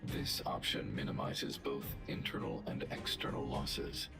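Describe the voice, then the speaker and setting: A towering robot guardian in a video game, speaking in a cavernous, gravelly bass. Every word comes out flat, precise and mechanical, like a machine working through a calculation. Loud game music plays under the voice. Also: male voice, unhurried, exaggerated voice. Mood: calm, clinical. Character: huge logical male robot guardian.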